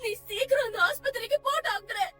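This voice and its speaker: A woman's voice: extremely high pitch, quick pace, bright, forceful tone, far from the mic, faint music behind. A sobbing frightened young woman. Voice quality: sobbing, shaky. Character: distraught young woman, tearful, devout. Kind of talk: TV serial acting.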